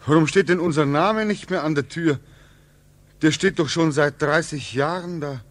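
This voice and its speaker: An actor playing a homesick, brooding young man back from war in a 1940s radio drama, with halting, repetitive, anguished speech. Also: a little high, no echo, lightly acted. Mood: agitated dismay. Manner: halting, repetitive, swinging into agitated questioning. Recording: 1940s radio recording, music behind.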